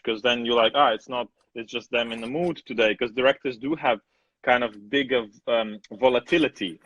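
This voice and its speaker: A man's voice: medium pitch, a bit bright, no echo. A man who grew up in the former Soviet Union, hosting a casual chat, talking bluntly and unhurriedly, swearing freely. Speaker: host cinematographer. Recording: dry, slightly dull sound.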